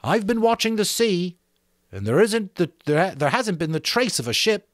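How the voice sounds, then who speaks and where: A man's voice: high voice, sing-song, moderate pace, no echo, clean studio sound, pitched above the performer's own voice. A man voicing a gloomy young castaway boy in a dramatic novel reading. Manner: gloomy, hesitant.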